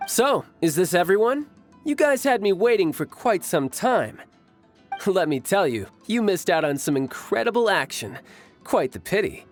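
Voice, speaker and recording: An actor voicing a cocky, battle-hungry young warrior who speaks in a smug, teasing way, in a game cutscene. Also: male voice, sits high, big pitch swings, normal speed, music in the background.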